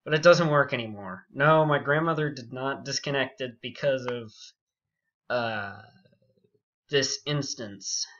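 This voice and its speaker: A young man telling a story casually and unscripted, rambling and halting, with frequent asides. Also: mid-range voice, a bit bright. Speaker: YouTube storyteller. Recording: dull, muffled lo-fi audio.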